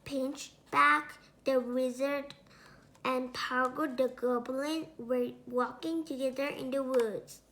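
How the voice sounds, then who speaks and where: Young female storybook narrator: high, bright, slow, halting read-aloud delivery. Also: fairly even intonation. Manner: halting, word-by-word reading with self-corrections. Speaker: storybook reader.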